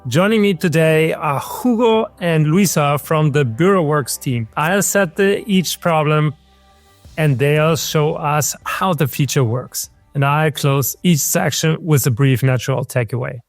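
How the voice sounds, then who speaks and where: A man in his forties hosts a tech interview over a video call from his home office. His pitch sits slightly higher than most men's, and the sound is dry with no room echo. He asks crisp, structured questions. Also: measured pace, soft background music. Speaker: host. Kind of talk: scripted interview host. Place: home office with world map on wall. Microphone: video call webcam.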